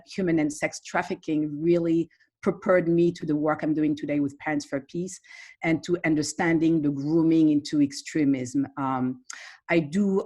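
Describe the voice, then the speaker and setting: A woman from Morocco, a therapist, speaking earnestly on an online panel, hesitant with many fillers. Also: low-pitched. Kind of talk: panel talk on a video call. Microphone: video call.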